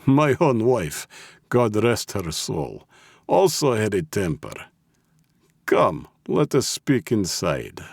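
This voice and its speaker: A smooth Russian gangster boss, with a Russian accent and a pitch lifted above the reader's own. He speaks with measured courtesy and quiet menace, as in a crime audiobook. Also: wildly swooping pitch, no echo, clean studio sound, full character voice. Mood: genial, menacing.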